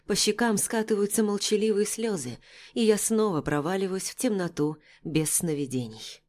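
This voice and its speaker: A woman's voice: mid-range voice, normal speed, a little mellow. A woman narrating a first-person novel as an audiobook, reading from a script.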